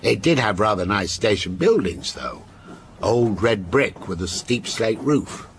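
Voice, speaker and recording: Teasing male storyteller, lively pitch. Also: slight background noise. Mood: storytelling. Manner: measured, coy, teasing. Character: genial, teasing, faintly unsettling male storyteller on a train. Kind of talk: radio drama acting, telling a ghost story.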